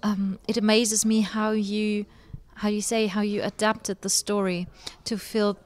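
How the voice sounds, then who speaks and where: A woman's voice: mid-range voice, little pitch movement, measured pace. A woman interviewing a guest for a podcast in a casual, enthusiastic way, with some hesitant phrasing.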